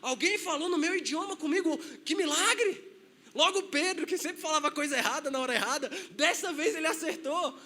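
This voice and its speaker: A man's voice: extremely high pitch, a little fast, bright, forceful tone. A man with a Brazilian Portuguese accent, a pastor preaching a sermon in a conversational way, acting out quotes.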